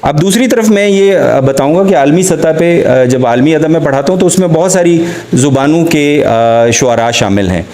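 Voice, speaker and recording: A man with a Pakistani accent giving an academic literature lecture, haltingly, reading out lines of poetry slowly and explaining them. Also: on the high side, animated, darkish tone. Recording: roomy, echoing sound.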